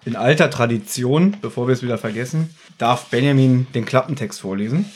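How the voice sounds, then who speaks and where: A man's voice: mid-range voice, brisk, a little mellow. A man chatting casually as a podcast host, with a teasing manner. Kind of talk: casual chat.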